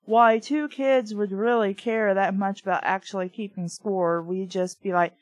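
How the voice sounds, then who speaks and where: A woman's voice: mid-range voice, fairly even intonation, moderate pace, no echo. A woman reading a book aloud casually, with blunt, sweary, opinionated off-the-cuff commentary. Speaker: reader and commentator of a children's book.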